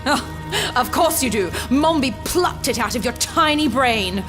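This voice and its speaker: A woman's voice: high voice, lively intonation, unhurried, music in the background. An actress playing a bitter, vengeful female rebel leader in a fantasy audio drama, theatrical, taunting and sardonic. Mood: bitter, menacing. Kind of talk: full-cast fantasy audio drama acting.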